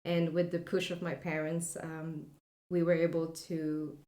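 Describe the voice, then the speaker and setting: A woman's voice: low voice, flat delivery, measured pace. French-accented woman in an echoey room. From France. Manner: soft, reflective, many filler sounds. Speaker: podcast guest. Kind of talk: heartfelt personal storytelling.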